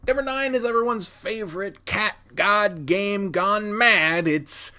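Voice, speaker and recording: A man's voice: high voice, a little slow, sharp tone. A man hosting a casual countdown video, talking informally and emphatically with plenty of swearing. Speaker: video host, visual novel reviewer. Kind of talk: casual scripted top-ten countdown commentary.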